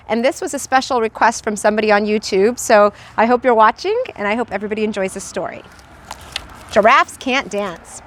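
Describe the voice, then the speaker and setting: A woman's voice: on the high side, a bit bright. A woman teacher reading a children's storybook aloud expressively, adding little asides to her listeners.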